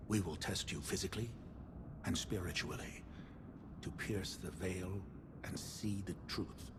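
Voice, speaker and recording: A cryptic male seer speaks in measured, partly whispered tones. His voice is lowish, over a steady game ambience hiss.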